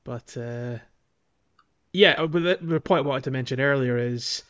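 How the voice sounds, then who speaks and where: A man's voice: mid-range voice, normal speed, fairly bright tone, muffled recording. A man co-hosting a podcast, chatting casually about a video game. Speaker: podcast co-host.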